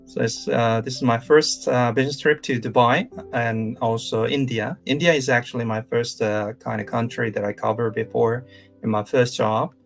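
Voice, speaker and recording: A man in his thirties from South Korea, speaking English with a Korean accent as a career coach giving a live-streamed lecture, halting with many fillers and restarts. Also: mid-range voice, fairly even intonation, gravelly, soft background music.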